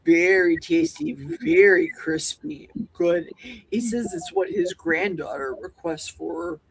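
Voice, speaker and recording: An enthusiastic speaker with a husky, breathy voice at a middle pitch. Casual livestream chat, quick to laugh. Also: animated, poor audio.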